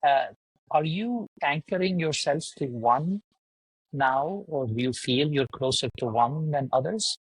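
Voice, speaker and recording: Husky male host. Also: a little high, animated, no echo, low-quality recording. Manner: halting, many fillers and restarts. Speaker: podcast host. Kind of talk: casual interview.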